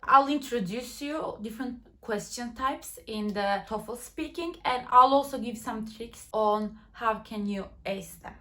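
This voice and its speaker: A woman's voice: a little high, a little slow, hardly any pauses, bright, forceful tone. A young woman from Turkey with a Turkish accent gives chatty, step-by-step tips for a language exam from home, with small slips in her English. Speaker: TOEFL tips YouTuber. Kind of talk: casual instructional vlog. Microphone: laptop nearby.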